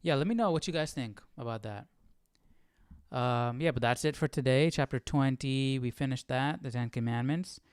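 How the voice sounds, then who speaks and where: A man with a clean, resonant, mid-pitched voice hosts a casual scripture study. He reads a little, then thinks aloud in an informal, questioning manner, with very dry, close sound. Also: moderate pace, clean tone, dry recording. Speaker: Bible study livestream host.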